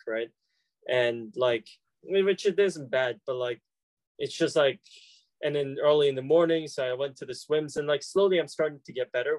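A man's voice: a little high, no echo. A young man, a college student, answering questions casually with many fillers and restarts. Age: young adult. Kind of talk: casual conversation.

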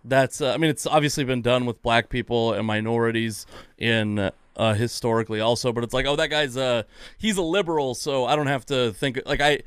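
A man's voice: mid-range voice, a little fast, clear, resonant tone, no echo, clean studio sound. A man in his thirties hosting a podcast in a casual, rambling conversational style. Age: 30s. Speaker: podcast host.

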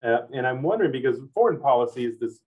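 Fast-talking man on a tinny line. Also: mid-range voice, expressive, darkish tone, slightly rough, recorded from a distance. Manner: rambling, loops back on his phrasing. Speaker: interviewer host. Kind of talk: long winding interview questions. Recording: tinny phone-like sound, off-mic.

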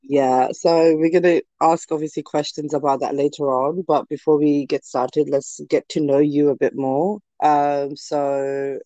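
A young woman hosting a podcast, chatting casually and warmly with her guest, with fillers. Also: sits low, flat delivery, measured pace, hardly any pauses, dry recording, poor audio. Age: young adult. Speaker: podcast host. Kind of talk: casual interview chat.

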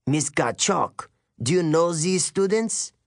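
A man's voice: fairly high pitch, lively intonation, slow, gravelly, no room sound, clean studio sound, voice pushed up, heavily acted. A man voicing a stiff, hostile male military-school teacher with a French accent, snappish and imperious, in an audiobook. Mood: doubtful.

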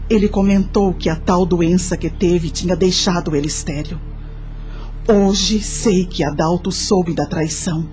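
An actress playing a grateful, remorseful widowed mother, narrating her life in a confiding, measured way for a radio drama. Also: medium pitch, mellow, close to an everyday voice. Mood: sorrowful, confessional.

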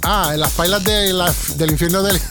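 Sing-song Puerto Rican radio host. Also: male voice, high-pitched, steady tone, normal speed, over music. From Puerto Rico. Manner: rambling, sing-song delivery with restarts. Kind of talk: live radio talk.

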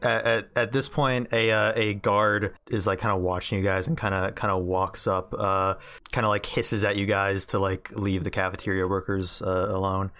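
Male game master, lowish voice, casual improvised narration with hesitant phrasing. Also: flat delivery, fairly bright tone, clear, resonant tone. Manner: hesitant, halting, informal.

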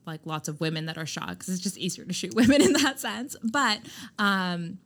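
A woman guest on a chat podcast talking candidly about founding her own skincare brand, loud and emphatic, with lots of "like" fillers. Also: on the low side, expressive, normal speed. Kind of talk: candid conversational interview.

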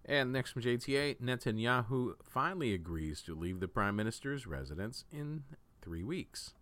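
A man reading news articles aloud in a measured manner, occasionally stumbling over a word. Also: medium pitch, expressive, fairly bright tone, no echo. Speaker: news reader.

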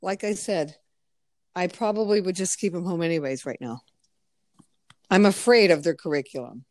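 Woman on a podcast, low-ish voice, swears a lot, dry close sound. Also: normal speed, darkish tone, no room sound. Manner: blunt, swearing, chatty storyteller. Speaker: podcast host. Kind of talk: casual podcast chat.

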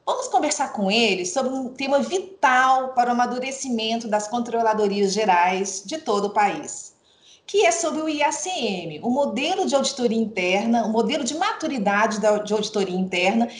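A woman's voice: on the high side, lively intonation, moderate pace, echoey room. A middle-aged woman hosting a podcast, formally welcoming a guest and reading his credentials. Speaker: podcast host. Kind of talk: podcast interview introduction.

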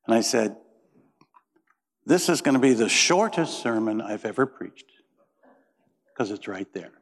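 A man's voice: on the low side, animated, a little slow. A middle-aged man from Indiana preaching a sermon as pastor, folksy and joking as he tells stories. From Indiana, USA. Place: church. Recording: reverberant church sanctuary.

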